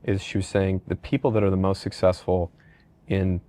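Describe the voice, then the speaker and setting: A man's voice: deep voice, level tone, normal speed, warm tone. A middle-aged man hosting a conversational podcast interview, casually asking questions and rambling through anecdotes. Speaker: podcast host, company leader.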